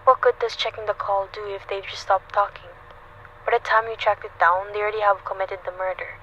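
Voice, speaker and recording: A woman's voice: mid-range voice, level tone, fairly quick, lightly acted. A woman playing an anxious, demanding bedridden housewife in a radio suspense play, fretful, insistent and melodramatic. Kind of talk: old-time radio suspense play acting. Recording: muffled lo-fi recording with background noise.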